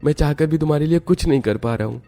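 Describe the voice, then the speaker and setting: A man's voice: on the high side, quick pace, dark tone, soft background music, lightly acted. A man voicing an apologetic young husband in a family cartoon, placating and earnest. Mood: regretful. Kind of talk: Hindi family cartoon voice acting.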